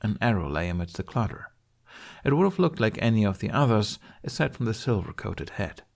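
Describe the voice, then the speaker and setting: A man's voice: lowish voice, very animated, fairly quick, creaky, a little mellow. A man reading scripted story narration as an audiobook narrator.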